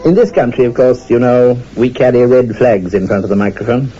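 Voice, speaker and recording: An actor playing a witty 1920s radio engineer with a husky voice, reminiscing in a droll, hesitant, digressive way. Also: male voice, mid-range voice, a little fast, mellow, faint music behind, close to an everyday voice. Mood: droll musing. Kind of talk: humorous reminiscence in a radio play. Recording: dull, muffled old radio sound.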